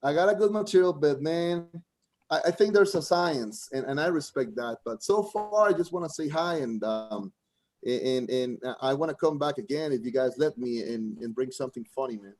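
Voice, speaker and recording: A man with a Costa Rican Spanish accent speaks in a somewhat high voice. He rambles through a stand-up set over a video call, stumbling, restarting, and filling gaps with "man." Spanish is his first language. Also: animated, a little mellow. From Costa Rica. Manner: halting, frequent restarts, keeps saying "man". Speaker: first-time open mic comedian.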